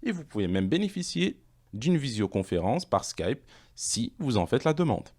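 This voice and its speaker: A man presenting an online language course, reading questions and answers in a measured, explanatory way. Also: medium pitch, very animated, a little mellow.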